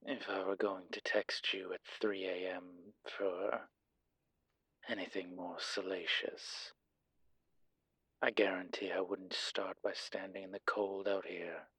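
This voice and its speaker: Man acting as suave male demon, very slow delivery, husky and breathy, wry, teasing, polished, intimate audio drama. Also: low-pitched, level tone, a bit bright, dry recording, full character voice. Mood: wry, hushed.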